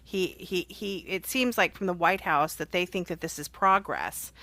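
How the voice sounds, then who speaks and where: A woman's voice: fairly low pitch, normal speed, sharp tone, no echo. A woman hosting a conversational news interview on radio, rephrasing her points as she works toward a question.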